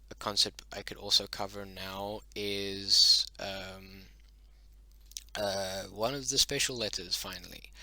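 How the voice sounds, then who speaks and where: A man whose first language is Dutch-derived talks through a lesson in loose, halting sentences full of ums and restarts. His voice sits fairly low but sounds very bright and forward. It comes across dry, with almost no room sound. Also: dry recording, rough recording. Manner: hesitant, many ums and self-corrections. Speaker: hobbyist teaching his invented language. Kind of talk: casual spoken lesson.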